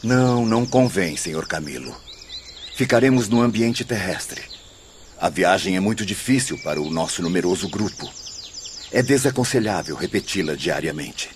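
An actor playing a prudent, dignified male spirit guide in a radio drama, formal and deliberate. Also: deep voice, animated, a little hiss or hum. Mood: cautioning.

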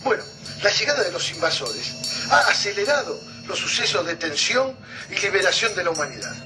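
An actor playing an earnest, bookish war chronicler with a halting, intellectual manner in a radio drama. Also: male voice, sits high, big pitch swings, recorded from a distance, music in the background, low-quality recording. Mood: pensive.

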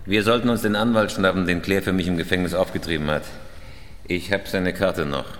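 An actor playing a terse, coolly calculating career criminal in a German radio crime drama, speaking in a clipped, matter-of-fact way. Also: male voice, deep voice, fairly even intonation, fairly quick, natural voice. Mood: terse, businesslike. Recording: echoey room with music underneath.

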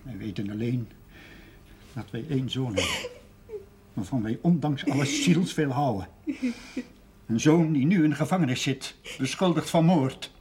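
A man playing a wounded, reproachful father in a television drama. His medium-pitched voice is heavy with bitterness and grief. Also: lively intonation, moderate pace, pauses often, a little mellow, a little hiss or hum, natural voice. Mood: bitter, anguished. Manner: reproachful, emotional. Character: bitter, grieving father of a jailed son.

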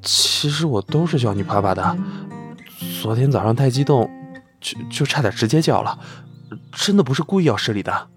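A man's voice: medium pitch, expressive, normal speed, lightly acted. A man playing a bashful, flustered young male star who adores his idol, stammering and hesitant in an audio drama. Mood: shy, adoring. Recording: clear guitar music behind.

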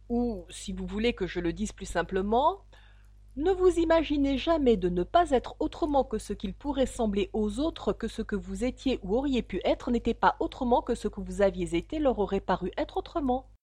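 A woman's voice: on the high side, darkish tone, no room sound. A woman voicing a smug old duchess who lectures in a preachy, self-satisfied way, for a storybook reading. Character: smug, fawning old duchess full of morals.

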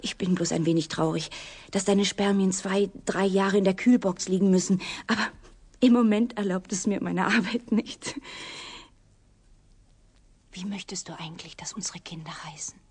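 A woman acting in a German radio drama as an obsessive, coolly unsettling scientist, speaking in a soft, intimate, matter-of-fact way with a husky, breathy voice. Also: medium pitch, fairly even intonation, moderate pace, lightly acted. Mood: wistful, intimate.

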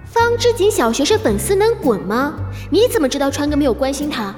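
A combative young female fan acted by a woman in an audio drama. Her high voice swings animatedly with indignation, in long reverb. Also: big pitch swings, brisk, darkish tone. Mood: indignant. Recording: long reverb, faint music behind.

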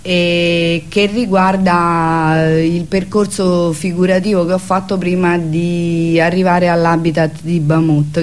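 A woman's voice: on the low side, darkish tone. A woman, an artist guest chatting informally and explaining things in a loose, hesitant way. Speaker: visual artist, radio guest. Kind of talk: casual chat.

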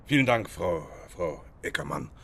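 Police investigator in radio drama, deep-pitched, matter-of-fact, courteous but probing. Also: male voice, sing-song, moderate pace, fairly bright tone, slight background noise, exaggerated voice. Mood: polite, probing. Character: methodical police detective, matter-of-fact and polite. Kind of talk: naturalistic radio drama acting.

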